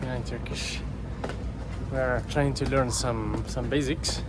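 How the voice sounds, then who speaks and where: Moroccan man vlogging over loud traffic. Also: medium pitch, fairly even intonation, unhurried. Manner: chatty, easily amazed, bits of Turkish. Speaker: travel vlogger. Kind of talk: casual vlog chat. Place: outdoors on busy city streets. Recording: loud street and traffic noise.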